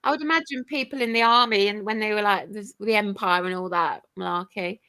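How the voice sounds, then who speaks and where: A woman's voice: mid-range voice, fairly even intonation, brisk, bright, forceful tone, clean tone, no echo. A woman in her forties from the UK chatting casually on a live stream, laughing often and swearing now and then. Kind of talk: casual chat.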